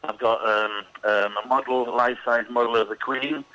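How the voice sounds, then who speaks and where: A man's voice: medium pitch, fairly even intonation, moderate pace, sharp tone, muffled recording. A middle-aged man being interviewed on the radio, chatty and hesitant, with many ums. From England. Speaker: interview guest.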